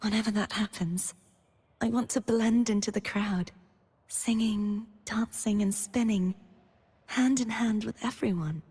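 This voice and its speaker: A young woman playing a gentle, earnest, wistful maiden heroine in scripted video game lines, soft-spoken and breathy. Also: mid-range voice, measured pace. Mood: joyful, dreamy. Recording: long reverb on the voice.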